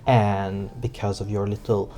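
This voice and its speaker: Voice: low-pitched, flat delivery, a little slow, voice pushed down. A game master voicing a polite Regency lady who coaxes a cranky old man in a condescending way. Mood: placating.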